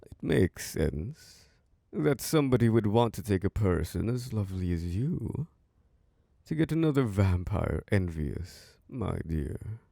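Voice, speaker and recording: A man voicing an ancient, charming and possessive male vampire lord who hosts a masquerade, speaking in a slow, seductive, close-mic ASMR roleplay with soft chuckles. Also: sing-song, no room sound, full character voice. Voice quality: low gravelly voice. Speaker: castle host.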